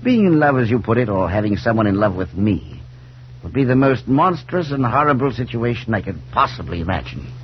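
An actor playing a cold, irritable professor with a clipped, emphatic way of talking in a 1940s radio drama. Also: male voice, mid-range voice, very animated, fairly quick, close to an everyday voice. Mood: cold, dismissive. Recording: noisy, dull 1940s radio recording.

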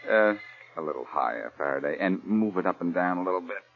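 A man's voice: deep voice, lively intonation, fast, soft background music. A man playing a wisecracking, unflappable adventurer in 1940s radio detective style, breezy, sardonic and playful. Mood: wry. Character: wisecracking, unflappable adventurer hero under threat. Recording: muffled, tinny old-time radio recording.

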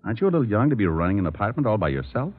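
A man's voice: fairly low pitch, big pitch swings, slightly rough. A man with an American accent playing a suave, hard-boiled private detective with dry, slangy wit, in radio drama style. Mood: teasing. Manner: dry, quick-witted, slangy. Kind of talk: 1950s radio detective acting and first-person narration. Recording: muffled old radio recording with music.

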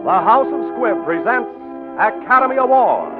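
A man's voice: high-pitched, big pitch swings, normal speed. A man announcing a sponsored radio show in a formal, grand, scripted 1940s style. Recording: muffled old 1940s radio broadcast, music behind.

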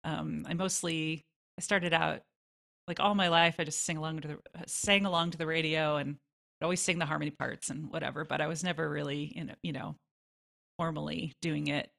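A woman's voice: deep voice, fairly even intonation, a little fast, vocal fry, a bit bright. A woman chatting informally as a songwriter guest in an interview, with self-deprecating asides. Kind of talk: casual interview answers.

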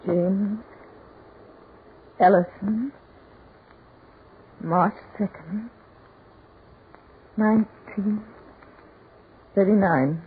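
Highly strung woman, tinny old radio. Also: fairly even intonation, very slow, pauses often. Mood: uneasy. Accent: American. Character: impatient, scornful woman turning fearful. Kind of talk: 1940s radio horror acting. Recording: noisy band-limited 1940s radio recording.

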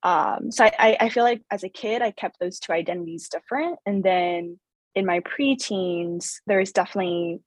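A woman's voice: on the low side, no room sound. An American woman in her thirties from Southern California, speaking reflectively as an interview guest, with many fillers and restarts. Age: 30s. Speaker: guest author. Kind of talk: conversational interview.

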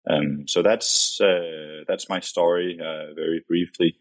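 A man in his late twenties with a Danish accent, chatting casually and haltingly as a modest interview guest. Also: deep voice, steady tone, no echo. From Denmark, grew up in Copenhagen. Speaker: podcast guest, company vice president.

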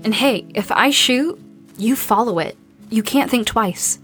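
A woman's voice: a little high, unhurried, a bit bright, faint music behind. A woman playing a tough, determined, commanding survivor in an audio drama.

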